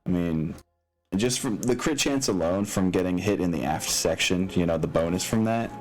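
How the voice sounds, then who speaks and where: A man's voice: low voice, normal speed. A man acting as game master, talking casually through rules and narration as he thinks aloud in a rambling way.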